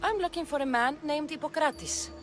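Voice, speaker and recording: A woman's voice: high-pitched, moderate pace, soft background music, close to an everyday voice. A woman playing a self-assured, direct and wry Spartan woman adventurer in a video game cutscene. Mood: curious, calm.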